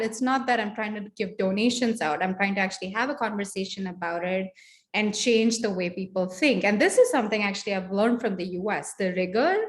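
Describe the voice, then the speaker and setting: A woman from India, a social work researcher answering on a panel in a reflective, chatty way, full of "like" and "you know". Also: mid-range voice.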